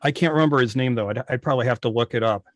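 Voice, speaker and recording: A man's voice: medium pitch, racing. Give a man with a mid-range voice and a dry, echo-free sound. He explains things casually and in careful detail, like a guest talking shop on an online club meeting. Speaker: guest, old-time radio station operator. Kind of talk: casual technical explanation over a video meeting. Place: remote video call. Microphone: video call microphone.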